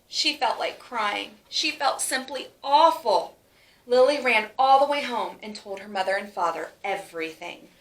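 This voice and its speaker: A woman reads a children's storybook aloud with animated delivery. Her voice is bright and forward, at a medium pitch, and she leans on key words. The room adds a clear echo. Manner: animated, stretches words for emphasis. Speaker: storybook reader. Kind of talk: expressive children's read-aloud. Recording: roomy, echoing sound.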